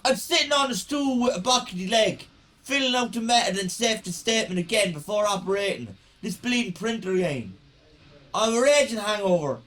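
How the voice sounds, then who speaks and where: A man's voice: high-pitched, lively intonation, a little fast, a bit bright. A man playing a disgruntled, hungover Irish factory worker in a radio play, grumbling and swearing emphatically. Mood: fed up, irritated. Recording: roomy, distant from the mic.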